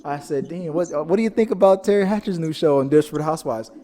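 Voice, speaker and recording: A man hosting a casual pop-culture show, telling stories in a rambling, animated way. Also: a little high, fast. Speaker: podcast host. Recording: reverberant, echoing room sound.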